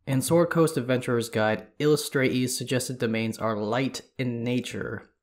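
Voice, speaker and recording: A man hosting a podcast, sounding congested as he reads lore in a steady, measured way. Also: mid-range voice. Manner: steady, measured reading of reference material. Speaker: podcast host. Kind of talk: scripted lore narration.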